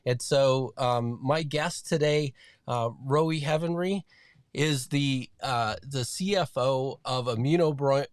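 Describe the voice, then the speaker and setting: A man's voice: mid-range voice, takes it slowly, dry recording. A man hosting a podcast interview, upbeat and loose, with many fillers and restarts. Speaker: podcast host. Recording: dry, close sound.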